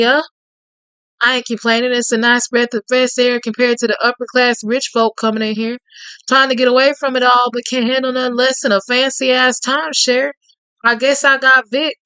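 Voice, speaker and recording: A woman voicing a confident, flirtatious Cajun man from the South, with a husky drawl and a quietly threatening edge. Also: a little high, no echo, rough recording.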